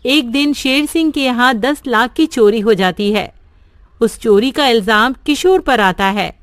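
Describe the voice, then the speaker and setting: A woman narrating a simple cartoon story in a steady storybook manner. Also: on the high side, a little slow.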